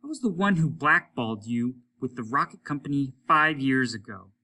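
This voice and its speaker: A man voicing a bitter, spiteful astronaut who mocks his captain in a raised, loud voice. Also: mid-range voice, expressive, moderate pace, far from the mic. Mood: taunting. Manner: taunting, mocking, raised and loud. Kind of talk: audiobook character voice.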